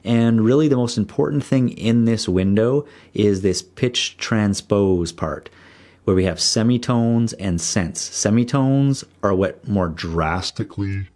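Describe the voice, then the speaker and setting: A man explaining audio editing software in a steady screen-recorded tutorial voice-over. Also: lowish voice, measured pace.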